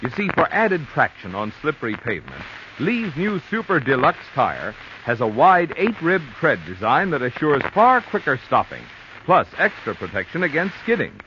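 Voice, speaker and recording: Animated male vintage radio announcer. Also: medium pitch. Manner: emphatic sales delivery. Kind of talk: 1940s radio advertising read, scripted. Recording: noisy muffled vintage radio recording.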